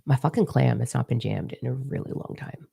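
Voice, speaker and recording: Hoarse woman, candid and chatty. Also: extremely low pitch, fairly quick, dark tone. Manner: candid, swears freely, chatty and confiding. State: hoarse, partly lost voice. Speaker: spiritual teacher. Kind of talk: casual spiritual talk to followers.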